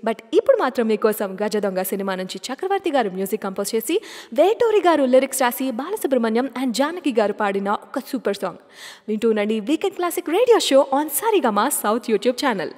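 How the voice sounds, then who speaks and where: A woman hosting a radio show, presenting songs and telling stories about an actor in a chatty, expressive manner. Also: fairly high pitch, brisk, in a large hall.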